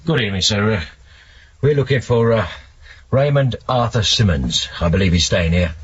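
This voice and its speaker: An actor playing a dry, steady British police detective in a 1970s radio drama, laconic and deadpan. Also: male voice, on the low side, normal speed, lightly acted. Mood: politely probing. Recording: muffled, noisy vintage radio broadcast.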